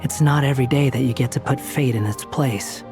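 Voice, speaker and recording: A cool young loner from a video game acted by a man, medium in pitch. He talks in an offhand, dismissive way, with faint music behind him. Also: a little fast, close to an everyday voice. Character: aloof, cool young male loner, secretly loyal friend.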